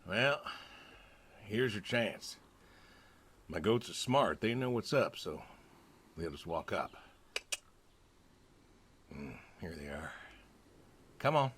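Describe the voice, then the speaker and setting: An actor playing a gentle, plainspoken older farmer in a video game, with a laconic, dry manner. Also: male voice, lowish voice, animated, slow pace, pauses often, slightly rough, exaggerated voice. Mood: patient. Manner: laconic, dry, folksy, understated.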